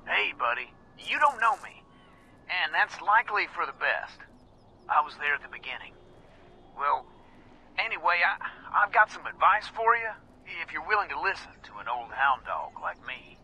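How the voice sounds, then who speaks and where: An actor playing a folksy, rambling middle-aged resistance insider in a video game, giving awkwardly avuncular talk over a call. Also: male voice, a little high, very animated, moderate pace, pushed and bright, slightly rough, full character voice, low-quality recording. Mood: awkward, earnest. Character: folksy, rambling male resistance insider, self-styled old hound dog.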